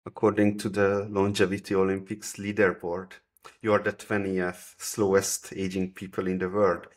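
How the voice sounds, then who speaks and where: A man's voice: low voice, monotone, moderate pace. A man around thirty hosting an interview, asking brief, matter-of-fact questions. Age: 30s. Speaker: podcast host. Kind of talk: video call interview.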